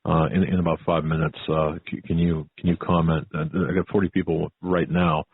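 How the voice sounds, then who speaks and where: A man hosting a talk radio interview, speaking haltingly and repeating his words. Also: deep voice, level tone, slightly rough, no echo. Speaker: radio show host. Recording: narrow-band phone-like audio.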